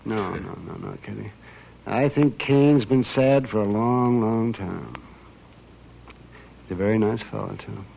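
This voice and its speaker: An American actor playing a crotchety, world-weary but kindly town doctor, muttering and hesitant, in a 1950s radio western. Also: male voice, lowish voice, a little slow, creaky, long pauses. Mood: sorrowful. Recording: muffled, noisy old radio recording.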